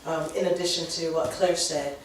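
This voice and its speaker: A woman panelist with a low, fairly monotone voice talks her thoughts through at an unhurried pace. She is heard from a distance in a very echoey room with noticeable background noise. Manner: loud voice, reflective, light laughs. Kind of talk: panel discussion. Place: tech event space indoors.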